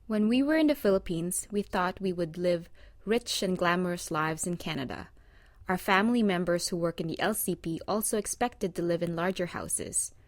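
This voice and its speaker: A woman narrating a scripted presentation in a measured, read-aloud manner. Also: fairly low pitch, no echo.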